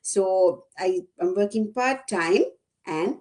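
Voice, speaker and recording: A woman's voice: medium pitch, slow, a little mellow. A woman in her thirties from Sri Lanka, a guest answering interview questions over a video call, hesitant with many fillers. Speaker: guest, teacher aide.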